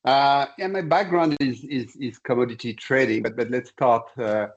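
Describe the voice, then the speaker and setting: A man in his sixties from Senegal, a commodity trader and interview guest, telling his career story in a measured, halting way over a video call. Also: medium pitch, dull audio. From born in Senegal. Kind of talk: conversational career account.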